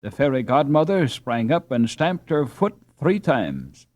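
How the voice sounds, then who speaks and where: A man narrating a classic children's novel in a measured, expressive reading. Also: medium pitch, no room sound. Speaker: audiobook narrator. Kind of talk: scripted storybook narration.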